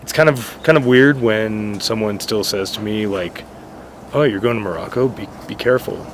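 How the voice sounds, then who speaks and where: A man from the United States speaking as a delighted tour guest. His voice sits low and he talks in an eager, chatty way. Traffic and street noise run clearly behind him outdoors. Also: moderate pace. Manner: enthusiastic, chatty. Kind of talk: travel testimonial.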